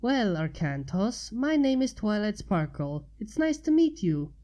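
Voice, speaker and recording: A woman's voice: medium pitch, big pitch swings, measured pace, warm tone. A woman voicing a young female unicorn mage, earnest, caring and a little proud, in a storybook reading. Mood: curious, friendly.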